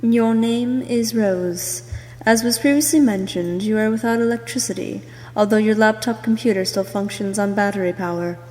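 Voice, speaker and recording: A woman's voice: medium pitch, normal speed, darkish tone. A woman reading a scripted character introduction in the second person for an amateur voice reel. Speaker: amateur voice actor recording a voice reel. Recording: long hollow reverb.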